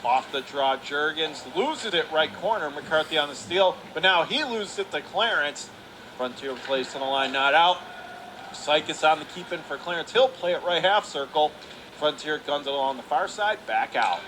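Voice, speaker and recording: A man's voice: a little high, expressive, normal speed, sharp tone. An American man doing live radio play-by-play commentary of a hockey game. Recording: noisy arena ambience behind voice. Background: ice rink noise.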